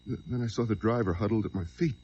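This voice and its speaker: Desperate fugitive on muffled old radio. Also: male voice, lowish voice, fast talker, darkish tone, breathy edge. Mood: brooding, tense. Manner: brooding, haunted, swinging into overwrought outbursts. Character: desperate fugitive man who killed a truck driver. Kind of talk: old-time radio crime drama, first-person narration. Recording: dull, noisy old radio recording.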